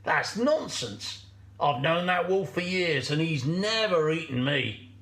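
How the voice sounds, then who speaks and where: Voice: medium pitch, animated, slow pace, a bit bright, roomy sound. A storyteller voicing a sly, falsely friendly fox, breezy, emphatic and persuasive. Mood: dismissive, breezy.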